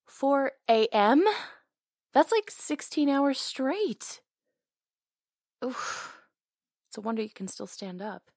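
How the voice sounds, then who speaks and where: A woman's voice: sits high, lively intonation, slow, long pauses, a little mellow, no room sound, studio quality. A woman acting in an intimate ASMR roleplay as a hair stylist, talking casually in a caring, teasing way.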